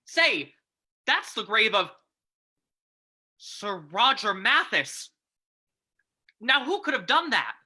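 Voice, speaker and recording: A woman playing a steady but uneasy small-town man in an earnest radio drama reading. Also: fairly high pitch, takes it slowly, long pauses, slightly rough, pitched below the performer's own voice, full character voice. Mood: curious, uneasy. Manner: earnest, wondering.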